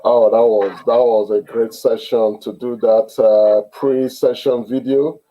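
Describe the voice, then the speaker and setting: A man hosts an interview over a video call, talking slowly and haltingly with plenty of fillers. His voice is mellow and soft-edged. The sound is that of a call. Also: medium pitch, steady tone. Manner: halting, many fillers and restarts. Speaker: host. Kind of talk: online interview.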